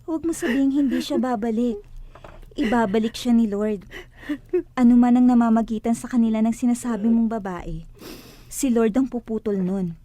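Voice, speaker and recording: A woman's voice: on the high side, normal speed, mellow, natural voice. An actress playing a devout, hopeful woman who speaks earnestly and reassuringly in a religious radio drama. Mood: earnest, comforting.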